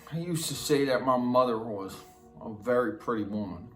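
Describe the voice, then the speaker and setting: An actor playing a simple, slow, matter-of-fact rural Southern man who confesses in a halting, plain-spoken, repetitive drawl, as a dramatic film monologue. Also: mid-range voice, fairly bright tone, lightly acted. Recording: roomy echo, music underneath.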